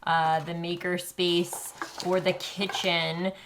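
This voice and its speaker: A woman co-hosting a children's show, explaining crafts casually in a gentle, instructive manner. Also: on the low side, level tone, slow, background noise.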